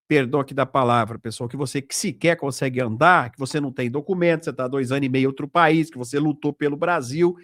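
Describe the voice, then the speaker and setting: A Brazilian man speaks in a fairly high voice, in a dry, close recording. He hosts informally, talking straight to his viewers and often checking in with short tag questions. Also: a bit bright, no room sound. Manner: conversational, repetitive, direct address with frequent tag questions. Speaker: host of a political video channel. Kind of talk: informal commentary and donation appeal to viewers.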